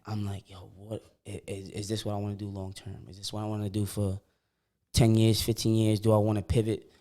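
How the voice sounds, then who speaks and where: A man's voice: on the low side, fairly quick. A young man in his twenties co-hosting a podcast in casual chat. He talks in slang, often starts sentences again, and acts out what people said. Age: 20s. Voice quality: repeats words when starting sentences. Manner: animated storytelling, slangy, often acts out quotes. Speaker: podcast co-host.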